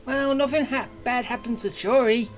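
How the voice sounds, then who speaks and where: A game streamer giving casual, jokey, halting commentary while playing along. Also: mid-range voice, faint music behind.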